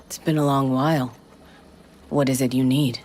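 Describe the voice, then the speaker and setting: An actress playing a gruff, no-nonsense seasoned fixer in a cyberpunk game, curt and blunt in her speech. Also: rumbling low, fairly even intonation, normal speed, a little mellow. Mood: curt, businesslike. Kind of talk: video game voice acting.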